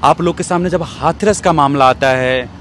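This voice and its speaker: A male interviewer speaks in a high-pitched voice into a handheld mic. His questions are reflective and wander a little as he searches for words. Faint outdoor noise sits behind him. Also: moderate pace, a bit bright. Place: outdoors in an open courtyard. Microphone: handheld small microphone.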